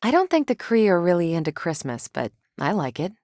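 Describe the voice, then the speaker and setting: A confident American superhero soldier for a video game, pitched a little low and recorded close and dry. She is tough but kind, with a sardonic, offhand way of talking. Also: very animated, a little fast, darkish tone, dry recording. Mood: wry, casual. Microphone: close, dry studio microphone.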